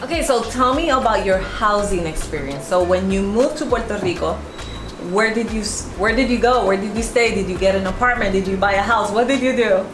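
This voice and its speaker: A woman's voice: mid-range voice, brisk. A woman from New York and New Jersey in the United States, hosting a casual sit-down interview. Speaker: video host and interviewer. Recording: echoey room with music behind.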